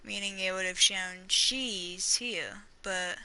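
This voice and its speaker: A woman's voice: medium pitch, fairly even intonation, slow, sharp tone, low-quality recording. A woman giving casual gameplay commentary, thinking aloud in a hesitant, rambling way and trailing off mid-thought. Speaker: gamer reacting and theorizing during a playthrough.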